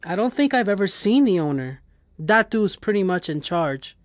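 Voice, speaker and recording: A woman's voice: medium pitch, very animated, normal speed, dry recording, lightly acted. Woman voicing nerdy young boy, comic fan, playful, casual, very dry recording. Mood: knowing, playful. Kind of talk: audio drama acting.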